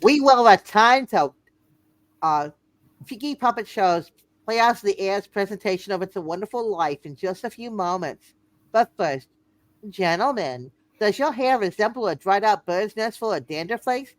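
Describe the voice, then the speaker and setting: A woman's voice: mid-range voice, expressive, moderate pace, no echo, natural voice, poor audio. A woman playing a mock-earnest old-time radio announcer reading an advertisement.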